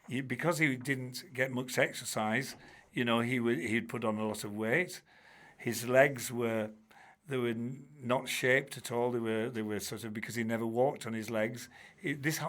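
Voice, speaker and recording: An older man, a former teacher telling stories in a chatty interview, full of restarts and asides. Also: medium pitch. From Bradford, England. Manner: chatty, warm-humoured, many restarts and "you know"s. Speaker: guest, charity trustee and former teacher. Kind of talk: relaxed storytelling in an interview.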